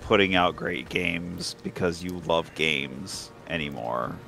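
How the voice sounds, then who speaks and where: Low-voiced man chatting while gaming. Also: takes it slowly, vocal fry. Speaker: co-op gamer. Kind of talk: casual chat while gaming. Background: faint game music.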